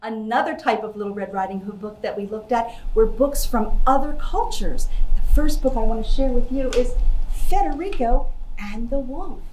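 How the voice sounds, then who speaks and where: A woman's voice: a little high, normal speed, a little mellow. A woman giving a children's library presentation, explaining things in simple words addressed to young listeners. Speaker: library outreach puppeteer and presenter. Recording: roomy echo, clear background noise.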